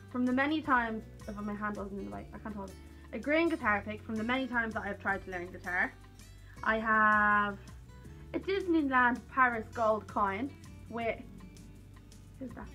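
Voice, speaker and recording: A young woman vlogger with an Irish accent talks casually to camera. Her voice is bright and a little on the high side, and she wanders into self-deprecating asides. Music plays faintly behind her. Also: moderate pace. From Ireland. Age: young adult. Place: small cluttered room at home, indoors.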